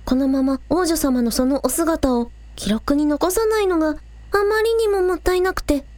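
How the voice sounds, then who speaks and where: A woman's voice: high-pitched, normal speed, darkish tone, dry recording, close to an everyday voice. A woman playing a spirited, earnest young village idol, polite with superiors and breezy with friends, in a radio drama. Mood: eager, polite.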